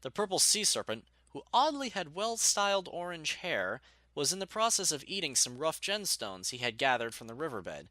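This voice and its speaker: A man narrating a scripted story in an expressive storytelling manner. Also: on the high side, normal speed, dry recording.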